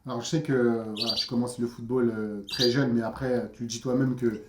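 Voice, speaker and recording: A man hosting a casual interview, quick and chatty with many fillers and restarts. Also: mid-range voice, little pitch movement, roomy sound. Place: outdoors in a neighbourhood. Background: friends chanting nearby.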